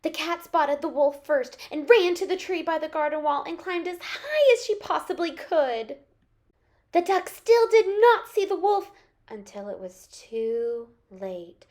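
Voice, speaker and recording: A woman storyteller with a high voice and big, swooping intonation. She reads expressively for young children, in the manner of a teacher. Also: darkish tone. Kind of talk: animated children's story reading.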